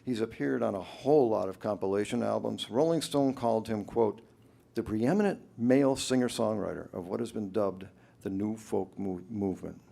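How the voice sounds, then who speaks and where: A man hosting a radio show, introducing a live concert from a club stage as an upbeat emcee who pauses between phrases. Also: fairly low pitch, creaky, mellow.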